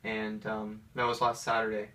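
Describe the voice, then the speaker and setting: A man's voice: on the low side, monotone, moderate pace, pushed and bright. Hesitant American man, recorded from a distance. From Atlanta, United States. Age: 30s. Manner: hesitant, rambling. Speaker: interviewee, pianist. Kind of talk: casual interview, personal storytelling. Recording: distant mic with faint background noise.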